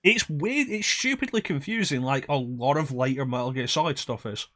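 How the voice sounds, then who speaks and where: A man's voice: mid-range voice, expressive, normal speed, fairly bright tone. Rambling, self-deprecating male streamer. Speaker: streamer host. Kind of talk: casual chat while gaming.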